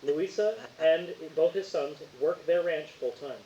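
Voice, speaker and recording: A man's voice: fairly high pitch, a little slow, dark tone. A man acting as game master, reading out case details and refereeing a tabletop roleplaying game. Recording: muffled, hissy, roomy home recording.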